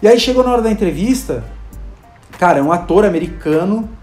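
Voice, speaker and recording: A man's voice: high-pitched, expressive, fairly quick, darkish tone, soft background music. Chatty Brazilian man in an echoey room. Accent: Brazilian Portuguese. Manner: chatty, many fillers, acts out quotes. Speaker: interview guest, video creator and hospital clown. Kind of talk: casual conversational storytelling.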